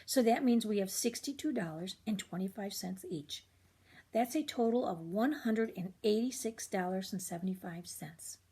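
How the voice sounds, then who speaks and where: A woman's voice: medium pitch, moderate pace, dark tone, breathy edge. An older woman voicing a clever, matter-of-fact young girl in a children's storybook, explaining things precisely.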